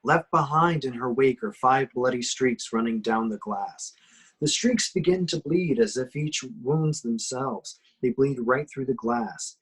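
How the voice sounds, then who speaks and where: Man, medium pitch, reads stage action for a horror script table read, dramatic but amateur delivery. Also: measured pace, fairly bright tone, husky, low-quality recording. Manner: dramatic descriptive reading with occasional stumbles. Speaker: action description reader in a script table reading.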